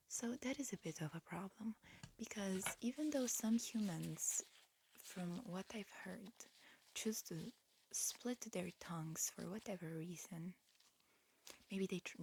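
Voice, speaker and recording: A woman whispering a gentle, breathy ASMR roleplay as a medical examiner, murmuring unhurriedly with pauses. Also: medium pitch, a little hiss or hum.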